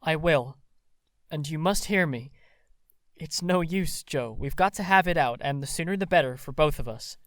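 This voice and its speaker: An actor playing an ardent, boyish young man in love in an audio drama, earnest and halting. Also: a little high, fairly bright tone, no room sound, clean studio sound, natural voice. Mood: determined, urgent.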